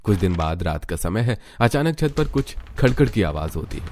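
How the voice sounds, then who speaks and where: A man reading scripted Hindi narration for an animated family story as its narrator. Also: lowish voice, moderate pace, a little hiss or hum. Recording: music behind the narration.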